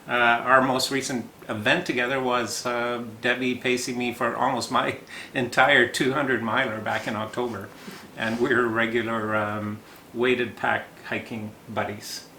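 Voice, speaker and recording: A man hosting a casual interview podcast, talking haltingly with lots of fillers and easy laughs. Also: mid-range voice, sharp tone. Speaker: podcast host. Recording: echoey room with faint noise.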